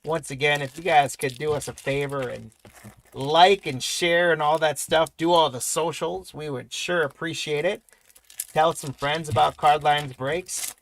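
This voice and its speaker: A man hosting a live trading card break, rattling off player names and congratulating viewers, his voice going hoarse. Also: on the high side, steady tone, a little slow, poor audio. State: voice weakening, losing it.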